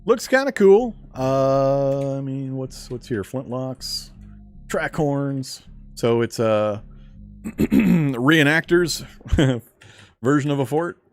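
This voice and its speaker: A man's voice: medium pitch, expressive, slow pace, faint music behind. A man streaming a video game, chatting casually and answering viewer questions with a fairly strong speaking voice. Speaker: gaming streamer. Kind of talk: casual live-stream chat while gaming.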